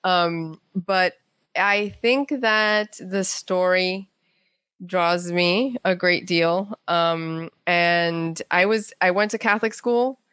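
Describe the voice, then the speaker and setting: A woman talking casually and informally as an interview guest, chatty and animated. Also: lowish voice, takes it slowly, smooth, no echo. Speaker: guest, playwright and author.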